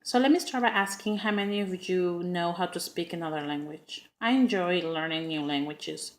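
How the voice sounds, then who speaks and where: Earnest Spanish-accented woman, lower voice. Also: moderate pace. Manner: earnest, with frequent ums and uhs. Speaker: student giving a class speech. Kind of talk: prepared introductory speech.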